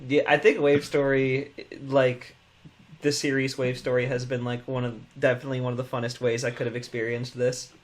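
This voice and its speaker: A man giving his thoughts on a video game in a casual chat, speaking haltingly and often starting his phrases over. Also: medium pitch, level tone. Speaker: host of a video game series.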